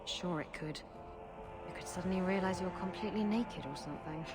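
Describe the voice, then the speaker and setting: A bold, dry-witted fantasy game heroine, acted by a woman, with a lowish voice. She is confident and challenging, heard in long reverb with music underneath. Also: a little fast, natural voice. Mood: wry. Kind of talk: scripted video game acting.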